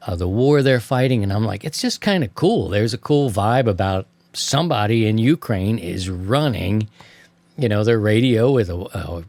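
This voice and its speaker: A man's voice: mid-range voice, darkish tone, no echo. Man telling casual stories, very dry sound. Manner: colloquial, slangy, acts out remembered exchanges. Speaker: amateur radio show host. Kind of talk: casual anecdotal storytelling to co-hosts.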